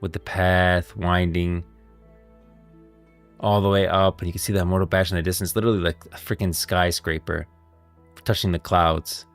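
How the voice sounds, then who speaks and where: A man's voice: deep voice, flat delivery, normal speed. A man giving casual, enthusiastic livestream commentary on game lore, speaking emphatically and in slangy language. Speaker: live streamer, lore commentator. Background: music.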